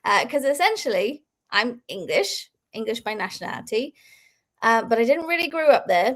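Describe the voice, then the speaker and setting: High-voiced chatty woman in her thirties. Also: very animated, moderate pace, fairly bright tone. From Belgium. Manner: chatty and laughing often. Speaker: guest crochet designer. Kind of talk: casual interview chat.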